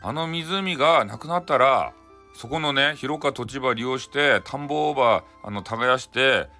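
A man as an earnest, bright-voiced Hakata villager. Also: medium pitch, moderate pace, soft background music. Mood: pleading. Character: earnest village farmer asking a favor.

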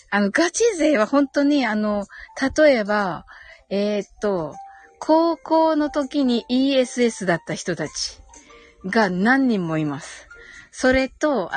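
A woman hosting a live audio stream, chatting casually and politely as she reads and answers comments, with frequent agreeing hums and giggles. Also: on the high side.

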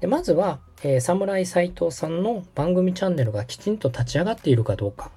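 Mellow male instructor over music. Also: high-pitched, expressive, quick pace, breathy edge. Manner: polite, explanatory, instructing. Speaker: podcast host and radio director, giving instructions. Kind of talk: step-by-step app tutorial over background music.

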